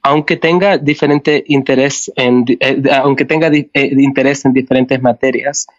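Male teacher guest, English-dominant, mid pitch, careful halting delivery, dry and slightly muffled recording. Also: steady tone, dry recording. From Canada, born in El Salvador. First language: English dominant, heritage Spanish speaker. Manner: careful, halting with slips and corrections. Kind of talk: prepared talk as interview guest. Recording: dull, dry lo-fi sound.

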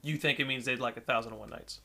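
A man's voice: medium pitch, steady tone, fast talker, creaky, bright, forceful tone. A man hosting a casual podcast interview, pushing his guest with probing devil's-advocate questions. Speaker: podcast host.

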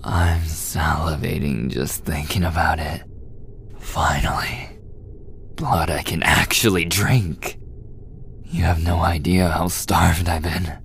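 Man acting a low, slow, obsessive vampire. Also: level tone, fairly bright tone, a little hiss or hum, heavily acted. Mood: hungry, intimate. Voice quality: breathy, often dropping to a whisper. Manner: slow, intimate, gloating. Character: obsessive, possessive male vampire, starved and hungry for blood. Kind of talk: boyfriend ASMR audio roleplay.

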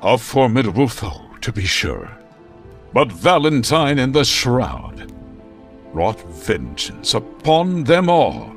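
Melodramatic old radio crimefighter, slow grand delivery, pitch swings around, music under him. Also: male voice, big pitch swings. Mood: grandly defiant. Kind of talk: 1940s radio serial acting.

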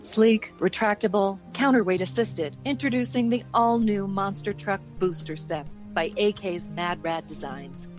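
A woman's voice: medium pitch, unhurried, few pauses, over loud music, natural voice. A woman playing a confident, petite truck designer in a scripted comedy radio drama, casual and folksy. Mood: showy.

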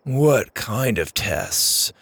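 Geeky, gullible little guy in a kids' audiobook, done by a male narrator: swooping intonation, unhurried, chummy. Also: on the low side, very animated, vocal fry, fairly bright tone, breathy edge, dry recording, heavily acted. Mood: amused, suspicious. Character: skinny, geeky adult man, amused, gullible sci-fi fan.

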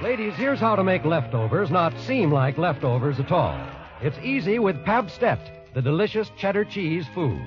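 A man's voice: sits high, big pitch swings, normal speed. A man reading a 1940s radio advertisement in a salesmanlike manner. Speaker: radio commercial announcer. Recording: dull old radio with loud music.

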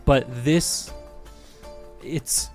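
A young man narrating a tabletop game as game master, telling the story with animated, laughing delivery. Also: very slow, faint music behind.

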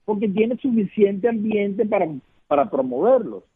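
A man's voice: sits high, lively intonation, measured pace, slightly rough. A man from Venezuela with a Venezuelan Spanish accent, a guest political consultant talking earnestly in a phoned-in radio interview, repeating words and asking rhetorical questions. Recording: muffled phone line.

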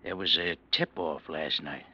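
An actor playing a menacing, sardonic crime boss in an old-time radio crime drama, speaking in slangy veiled threats. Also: male voice, a bass voice, steady tone, measured pace, breathy edge, muffled recording, heavily acted. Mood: sly menace.

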